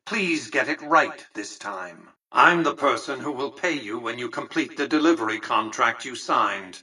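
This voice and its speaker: An actor playing an older, imperious tycoon in a video game, with a pompous, precise, dryly sardonic delivery. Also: male voice, medium pitch, expressive, measured pace, sharp tone, breathy edge, far from the mic, full character voice. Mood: curt, impatient. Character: imperious, calculating tycoon ruler of a casino city, condescending and dry. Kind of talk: video game character dialogue.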